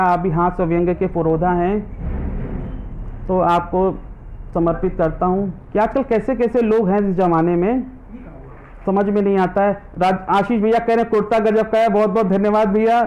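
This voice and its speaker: A man's voice: high-pitched, fairly even intonation, normal speed, muffled recording, slight background noise. A man reciting his own comic and devotional verses live online. He says every line twice and stops between poems to greet viewers by name. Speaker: poet; government teacher.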